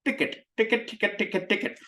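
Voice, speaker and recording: A man's voice: high-pitched, quick pace, bright, forceful tone, far from the mic, pitched above the performer's own voice. A man voicing a male ticket inspector in an exaggerated Indian English accent, repetitive and sing-song. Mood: insistent.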